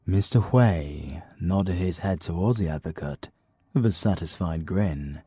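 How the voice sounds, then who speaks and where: Man, British accent, audiobook narrator, very deep, slow deliberate delivery. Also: warm tone, no room sound, clean studio sound. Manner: slow, deliberate, gravelly storytelling. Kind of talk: scripted narration.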